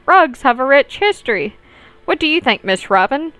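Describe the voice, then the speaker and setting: A woman's voice: sits high, sing-song, moderate pace, a bit bright, no room sound, pitched above the performer's own voice. A woman voicing a genteel, chatty lady passenger in a visual novel, with prim and courteous phrasing. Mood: eager, prim.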